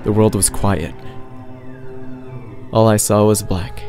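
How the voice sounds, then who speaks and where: A man's voice: lowish voice, steady tone, takes it slowly. A man voicing a tormented, blinded unicorn pony who recounts his past in an intense, dramatic first-person story reading. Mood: somber, haunted. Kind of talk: dramatic reading of fan fiction. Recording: loud music under the voice. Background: prominent background music.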